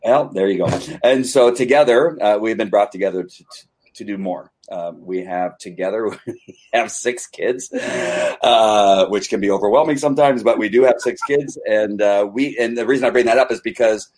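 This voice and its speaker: A man's voice: fairly low pitch, moderate pace, a little mellow. A man hosting a casual livestream chat, speaking in an earnest, playful and hesitant way. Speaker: host.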